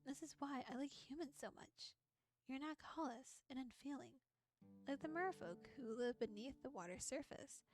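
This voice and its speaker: High wistful mermaid girl, done by a woman, lonely n gentle, talks kinda old-fashioned, visual novel style, music behind. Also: moderate pace. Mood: wistful, tender. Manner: formal, old-fashioned wording.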